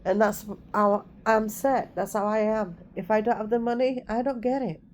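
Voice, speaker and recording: A woman's voice: a little high, steady tone, normal speed, a little mellow, a little hiss or hum. A woman in her forties with an English accent touched by Scouse, telling personal stories casually to her viewers and correcting herself as she goes. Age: 40s. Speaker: vlogger. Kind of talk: casual storytelling to the camera.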